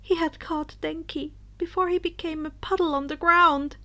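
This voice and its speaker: A woman narrating a story in a dramatic, expressive storybook style, with some phrases breathy and hushed. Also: extremely high pitch, normal speed. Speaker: fan fiction narrator.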